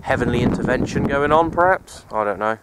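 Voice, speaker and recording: A man talks off the cuff in a mid-pitched voice. He is low-key and resigned, circling back over his points like a casual vlogger. The recording is outdoors on a handheld camera, with faint noise behind him. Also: expressive, brisk. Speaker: outdoor radio hobbyist vlogger. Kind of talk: casual rambling vlog narration. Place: outdoors on an open wooded hilltop. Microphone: handheld camera's built-in mic, held by speaker.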